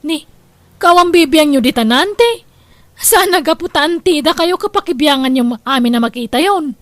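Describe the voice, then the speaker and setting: Radio drama role, woman acting: Ilocano big sister in debt, high voice, emotional, quick to argue. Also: animated, fairly bright tone, dry recording. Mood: resentful. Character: hard-up, combative older sister deep in debt.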